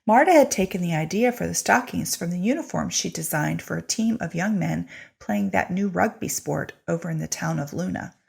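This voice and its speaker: A woman giving an expressive, wry scripted narration of a story in a husky, creaky voice. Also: on the low side, a little fast. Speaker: audiobook-style reader of a short story.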